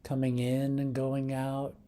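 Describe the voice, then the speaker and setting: A man leading a guided meditation in a calm, unhurried manner, leaving long pauses between short phrases. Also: medium pitch.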